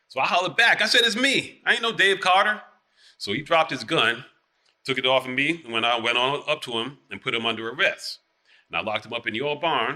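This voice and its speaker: Guy playing southern small town lawman, super bright edgy voice, braggy and rambling, full of excuses, stage dialect. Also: medium pitch. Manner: rambling, hedging, boastful. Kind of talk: theatrical dialect storytelling.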